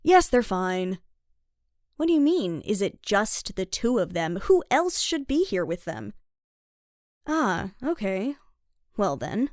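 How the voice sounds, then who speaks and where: A woman's voice: mid-range voice, very animated, slow pace, pauses often, darkish tone, no echo, clean studio sound. A woman playing a worried, scolding mother in a children's audio drama. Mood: secretive, hurried.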